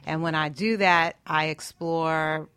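A woman's voice: sits low, steady tone, slow pace, a bit bright, clean tone, dry recording. A woman speaking casually as a guest on a talk show about her own work. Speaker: guest, filmmaker. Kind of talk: casual interview chat.

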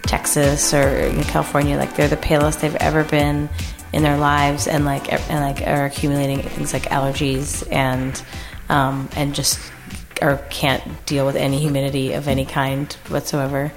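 Woman, American accent, low voice, halting, self-interrupting, casual humorous anecdote, background music. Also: monotone. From US East Coast. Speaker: improv comedy troupe guest storyteller.

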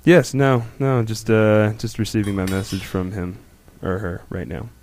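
A man's voice: fairly low pitch, steady tone, moderate pace, darkish tone, a little hiss or hum. A man co-hosting a radio show, upbeat and playful as he chats with guests. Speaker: radio co-host. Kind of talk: casual radio chat.

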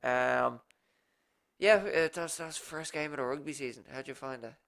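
Nasal young Irish man, gravelly. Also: medium pitch, normal speed, fairly bright tone, no room sound. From Ireland. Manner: rambling storytelling with fillers. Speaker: podcast co-host. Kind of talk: casual chat.

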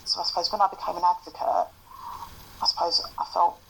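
Male interviewee, calm, gravelly and bright tone, faint noise behind. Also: high-pitched, a little fast, rough recording. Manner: calm, hesitant, many fillers. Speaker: advocate. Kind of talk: casual interview answers.

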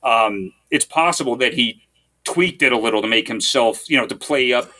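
Bright-voiced man musing on history. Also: mid-range voice. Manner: thoughtful, halting, quotes sources aloud. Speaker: podcast host. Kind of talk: casual history discussion.

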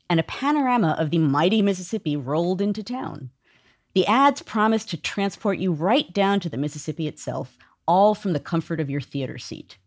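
A woman hosts with scripted narration in a slightly low voice. Her intonation is very lively, rising and falling freely as she introduces material. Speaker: show host.

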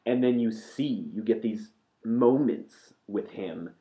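A fairly low-pitched man talks loosely in a casual podcast chat, circling back and restarting his thoughts and swearing freely. The recording sounds dull and lo-fi. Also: slow pace. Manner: rambling, swears freely, trails off mid-sentence. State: losing his voice. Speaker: podcast co-host.

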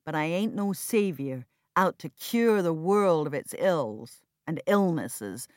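A woman's voice: deep voice, lively intonation, slow, dry recording, studio quality. Woman voicing a cold, bitter, sardonic male heir. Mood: grudging, bitter. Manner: detached, sardonic, dismissive. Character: cold, flippant, bitter heir, adult son.

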